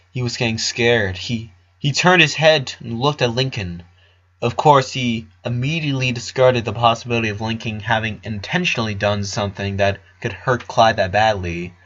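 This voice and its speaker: A man's voice: medium pitch, fairly bright tone, lo-fi sound. A man reading a story aloud as a dramatic narrator. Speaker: fan fiction reader. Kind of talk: dramatic audiobook narration with character voices.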